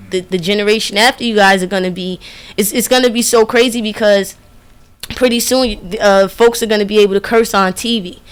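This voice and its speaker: A woman radio host in casual conversation, speaking in an earnest, emphatic, colloquial way. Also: mid-range voice. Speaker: radio DJ and interviewer.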